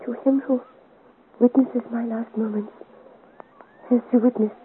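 An actress playing a frail, dying young woman in a 1950s radio serial, mournful and resigned, speaking weakly in halting phrases. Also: on the high side, fairly even intonation, close to an everyday voice. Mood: urgent, failing. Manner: halting, weak, broken phrases. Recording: scratchy, tinny 1950s radio recording.

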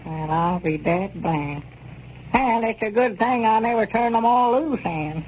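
A man playing a folksy, excitable country storekeeper with a rural Southern accent in a 1930s radio comedy serial. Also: sits high, a little slow. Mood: exasperated. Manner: rustic, drawling folksy talk. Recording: dull, noisy old 1930s radio recording.

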